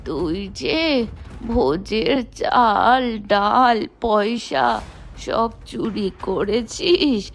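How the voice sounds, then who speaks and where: A creaky, high-pitched old village woman played by a woman speaks very slowly in a cartoon folk tale. She is poor but defiant, and scolds indignantly. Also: animated, soft background music, heavily acted. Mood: indignant, scolding. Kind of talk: Bengali cartoon voice acting.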